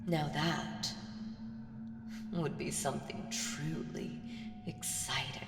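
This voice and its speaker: Casting: woman as smug ancient evil being for audio roleplay, seductive and condescending, very slow delivery, drenched in cavernous reverb. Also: deep voice, fairly even intonation. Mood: conspiratorial, tempting. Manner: slow, teasing, condescending, breaking into breathy whispers. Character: ancient evil female entity, smug, seductive, toying with mortals. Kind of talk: ASMR audio roleplay monologue. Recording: echoey hall reverb, distant, music behind.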